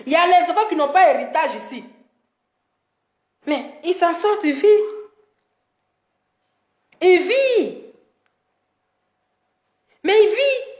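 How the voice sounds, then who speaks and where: A woman's voice: extremely high pitch, takes it slowly, long pauses, reverberant. A woman with an Ivorian accent hosting an opinionated live stream, scolding emphatically and repeating her points for emphasis. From Ivory Coast. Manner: animated scolding, emphatic repetition. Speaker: social media live-stream host.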